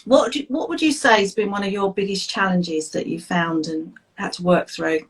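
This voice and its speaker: A woman's voice: medium pitch, moderate pace. Middle-aged woman, enthusiastic host, upbeat, video call. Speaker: host, therapist and coach. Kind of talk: live interview hosting.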